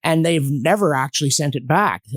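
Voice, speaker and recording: A man's voice: a little high, expressive, normal speed, no echo, studio quality. A man speaking as a podcast guest on history, telling stories emphatically and acting out quotes. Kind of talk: animated storytelling in a panel discussion.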